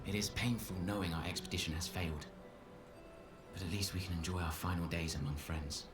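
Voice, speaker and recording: An actor playing a weary, grateful expedition member reading a solemn, wistful journal entry in a video game. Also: male voice, low voice, fairly even intonation, fairly quick, distant mic, music in the background, lightly acted. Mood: resigned. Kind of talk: dramatic game voice acting, journal narration.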